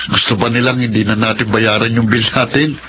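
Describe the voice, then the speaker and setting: A man's voice: mid-range voice, level tone, a little fast, bright, forceful tone, slight background noise, close to an everyday voice, poor audio. A man with a Filipino accent acting a struggling, proud young breadwinner and protective big brother in a radio drama. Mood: reassuring, tender. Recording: music bed behind the voice.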